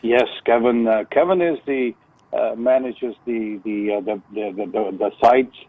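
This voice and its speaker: A man's voice: medium pitch, a little slow. Older man on a muffled phone line. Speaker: phone-in guest, retired contractor. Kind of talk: casual radio phone interview.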